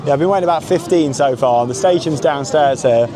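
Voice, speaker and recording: A man's voice: a little high, fast, noisy background. A man vlogging casually as he walks around outdoors, chatty and enthusiastic in a rambling running commentary. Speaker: theme park vlogger and host. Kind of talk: casual vlog narration to camera. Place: outdoors at a theme park, walking around.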